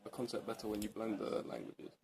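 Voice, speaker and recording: A woman's voice: very deep voice, animated, quick pace, vocal fry, darkish tone. A woman interviewing a fellow student for a class project, asking probing follow-up questions.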